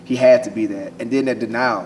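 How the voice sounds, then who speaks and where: A man's voice: mid-range voice, steady tone, a little mellow. Casting: American male actor, conversational and searching for words, picked up from a distance in noise. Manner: halting, repeats phrases while thinking. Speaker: stage actor being interviewed. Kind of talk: casual interview answers. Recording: distant mic, noisy room ambience.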